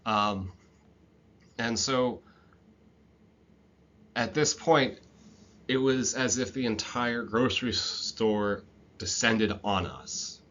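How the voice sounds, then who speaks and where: A man in his thirties, an instructor telling a personal story in a relaxed, reflective way, with frequent "um"s. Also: on the low side, very slow, pauses often, dull audio. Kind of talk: storytelling lecture.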